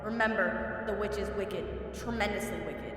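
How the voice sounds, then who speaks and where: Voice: mid-range voice, fairly even intonation, a bit bright, slight background noise, close to an everyday voice. A performer in an audio play playing a great, terrible wizard as a giant head, imperious and commanding. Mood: stern, commanding. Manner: imperious, slow pronouncements. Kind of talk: audio play acting before a live audience. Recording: cavernous long reverb, distant.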